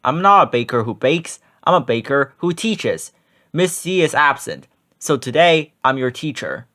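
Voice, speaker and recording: A man's voice: medium pitch, sing-song, unhurried, bright, forceful tone, exaggerated voice. A man voicing a mild, flustered male substitute teacher in a children's storybook.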